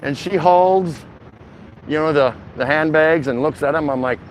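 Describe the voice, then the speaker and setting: A middle-aged man with a slightly high voice talks off the cuff as he walks outdoors. His delivery is easygoing and slangy, like a livestreamer chatting with regulars. Light city and traffic noise runs behind him. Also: unhurried, poor audio. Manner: easygoing, rambling, slangy, addresses viewers by name. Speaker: vlogger. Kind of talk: casual livestream walking-tour chat. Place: outdoors, walking through a city shopping district.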